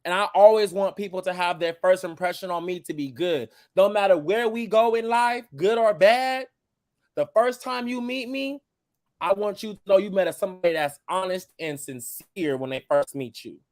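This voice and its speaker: A man in his twenties who grew up in the United States, a show guest talking in casual chat, blunt and calm while he cusses. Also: sits high, normal speed, dry recording, clean studio sound. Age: 20s.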